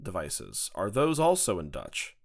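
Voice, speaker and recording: A man playing a deadpan, clueless student in a comedic mock class, flatly repeating his denials. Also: low-pitched, measured pace, gravelly. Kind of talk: comedic improvised roleplay.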